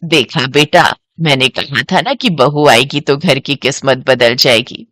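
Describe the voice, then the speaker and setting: A woman's voice: rumbling low, a little slow, a bit bright, no room sound, clean studio sound, voice pushed down, exaggerated voice. A woman voicing a caring older mother in a moral story, motherly and coaxing. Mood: proud.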